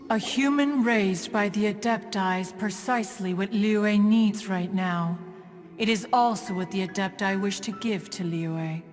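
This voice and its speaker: A woman's voice: mid-range voice, measured pace, lightly acted. A woman voicing a proud, aloof ancient immortal master in a video game, speaking in a haughty, formal, archaic manner. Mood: grave. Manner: haughty, formal, archaic, refers to self as "one". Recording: long reverb, music underneath. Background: music.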